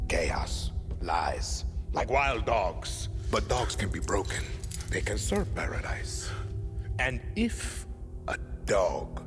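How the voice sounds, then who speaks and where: An actor playing a calm, menacing middle-aged dictator and father, with a Latin American Spanish accent and a measured, deliberate delivery in a game cutscene. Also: sits low, exaggerated voice. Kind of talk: dramatic game cutscene acting. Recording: music behind the dialogue.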